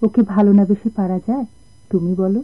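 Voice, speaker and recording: A woman's voice: medium pitch, a little fast, slightly rough, natural voice. An actress playing a tender, anxious young woman in love with a sailor, emotive in radio drama style. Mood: reassuring. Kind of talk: Bengali radio drama acting. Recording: dull, lo-fi old radio recording.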